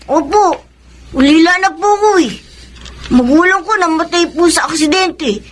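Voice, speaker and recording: A woman voicing an eight-year-old orphan boy in a radio drama, speaking politely in a high childlike voice. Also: normal speed, a little hiss or hum.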